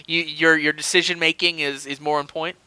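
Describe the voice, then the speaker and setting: A man co-hosting a casual comedy podcast, telling stories in an animated, chuckling way. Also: fairly high pitch, brisk, clean tone, no echo, low-quality recording. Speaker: podcast co-host. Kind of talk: casual comedic storytelling chat.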